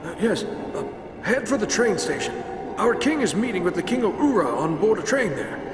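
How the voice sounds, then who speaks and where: An actor playing a cowardly, pleading butler in a game cutscene, stammering and grovelling. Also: male voice, sits high, sing-song, normal speed. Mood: nervous grovelling. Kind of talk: video game cutscene voice acting. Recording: echoey hall under music.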